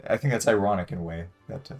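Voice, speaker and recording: A young man chatting casually as a guest player, thinking aloud in a low-key way as he plays a game. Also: rapid-fire, darkish tone. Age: young adult. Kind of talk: casual chat while playing a game. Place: indoor room, sharing a webcam frame with a friend. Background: music.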